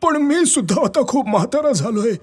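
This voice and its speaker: A man's voice: extremely high pitch, lively intonation, normal speed, big-room sound, voice pushed up. A man voicing a weary, toothless old man in a children's story, with a plaintive delivery. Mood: tired, resigned. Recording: music behind the voice.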